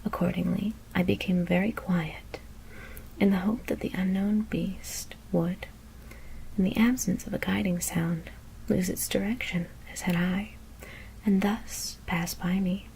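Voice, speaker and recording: A woman whispering a gothic horror story as ASMR narration, hushed and deliberate, with careful pauses. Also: medium pitch, steady tone, creaky, a little hiss or hum, rough recording.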